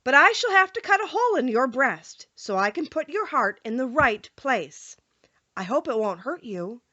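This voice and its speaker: Woman playing a brisk, bright little wizard man. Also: high voice, big pitch swings, dull audio, voice pushed up, full character voice. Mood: matter-of-fact. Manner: brisk, polite, reassuring. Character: kindly little old humbug wizard, man, reassuring.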